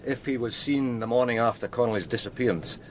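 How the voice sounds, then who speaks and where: Actor as male police detective, medium pitch, measured, deferential, explanatory, radio drama, slight background noise. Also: lightly acted. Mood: measured, matter-of-fact. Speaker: police officer.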